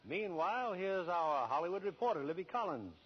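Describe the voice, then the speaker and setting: A man's voice: high voice, sing-song, brisk, breathy edge. An American man hosting a radio theater in a formal, courtly, scripted 1940s presenting style. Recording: dull old radio broadcast with music.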